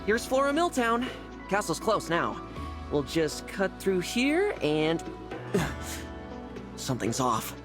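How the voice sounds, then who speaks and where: A man's voice: high-pitched, very animated, slow, long pauses, over music, exaggerated voice. A man playing a friendly, kindhearted young prince in a video game, chatty, polite and upbeat in manner. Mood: alarmed, determined. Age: young adult. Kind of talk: scripted video game voice acting.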